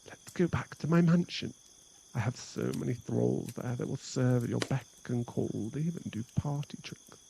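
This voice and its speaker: A man's voice: mid-range voice, moderate pace, vocal fry, mellow, slight background noise, poor audio. A man voicing a possessive, condescending vampire in an ASMR boyfriend roleplay, mocking one moment and sweet-talking the next. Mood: haughty, mocking.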